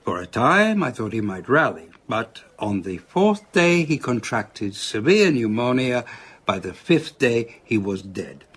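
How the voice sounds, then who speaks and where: Proud male doctor, earnest, formal, emphatic, slightly rough voice, radio drama. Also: mid-range voice, big pitch swings, measured pace, fairly bright tone, heavily acted. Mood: solemn recounting.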